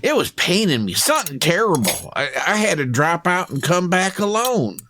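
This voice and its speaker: A woman's voice: lowish voice, big pitch swings, normal speed, background noise. A woman playing a nervous, stammering male small-town banker in a comedy audio drama, flustered and jittery. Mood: anxious.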